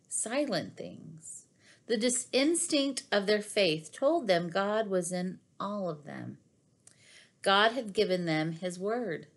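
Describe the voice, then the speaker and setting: A middle-aged woman reading devotional material aloud in a measured, deliberate way with pauses between phrases. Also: medium pitch. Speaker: devotional reader. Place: small living room at home, indoors.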